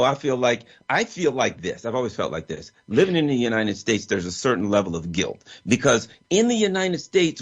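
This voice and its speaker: A male talk show host speaking in an impassioned, emphatic way about politics. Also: medium pitch. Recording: muffled lo-fi audio.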